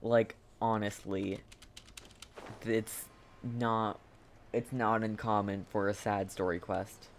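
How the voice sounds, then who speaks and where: A woman's voice: a bass voice, level tone, slow, pauses often, a little hiss or hum. A woman giving casual live game commentary as a streamer, laid-back and often reacting in brief murmurs.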